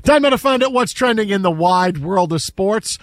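A man hosting a sports talk show with casual, animated, teasing banter, often repeating his phrases. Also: high-pitched, moderate pace. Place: dry studio.

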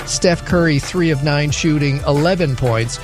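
A man delivering a radio sports news roundup, reading scores briskly and adding wry asides. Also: a little high, over music. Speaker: sports update anchor.

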